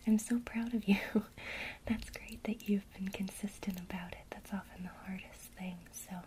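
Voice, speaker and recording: A woman whispering softly and breathily in a gentle, encouraging way, as a teacher in an ASMR one-to-one lesson. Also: medium pitch, steady tone, moderate pace.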